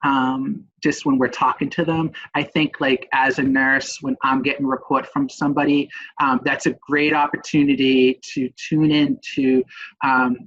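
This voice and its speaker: A middle-aged man with a Boston accent, a nurse presenting in a webinar, talking haltingly with many ums. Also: medium pitch, flat delivery, fairly bright tone, dull audio. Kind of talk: webinar talk.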